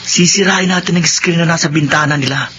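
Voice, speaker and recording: An actor playing an insolent, hot-tempered young drug addict in a Filipino radio drama. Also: male voice, a little high, fairly even intonation, brisk, sharp tone, dull audio, slight background noise. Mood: scheming. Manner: insolent, belligerent.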